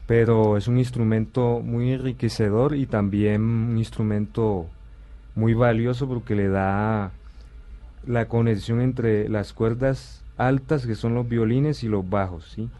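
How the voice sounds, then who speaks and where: A man, explaining things in a measured, slightly hesitant way as an interview guest. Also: medium pitch, steady tone, dark tone. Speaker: orchestral viola player, interview guest.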